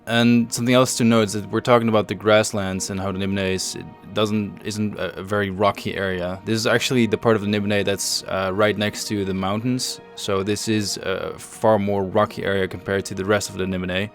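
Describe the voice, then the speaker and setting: A man hosting a developer livestream, explaining things casually in a measured, halting way. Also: fairly low pitch, little pitch movement. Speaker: host and project lead on a developer livestream. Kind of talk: casual show-and-tell commentary over game screenshots. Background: faint music.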